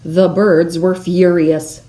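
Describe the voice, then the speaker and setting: A woman storyteller reading a children's picture book aloud expressively, with deliberate dramatic emphasis on key words. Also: lowish voice, flat delivery, mellow.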